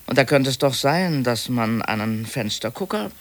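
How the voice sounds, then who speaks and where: A man's voice: medium pitch, expressive, normal speed, fairly bright tone. A man playing a wary, inquisitive office worker in a naturalistic radio drama, politely probing and a little hesitant.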